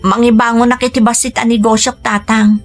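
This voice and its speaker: Woman playing young ilocana daughter in a radio drama, highish voice, earnest and weary, soft music behind. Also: level tone, fairly quick, natural voice. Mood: earnest, pleading. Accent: Filipino, Ilocano. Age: young adult. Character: dutiful, weary young Filipina daughter working overseas.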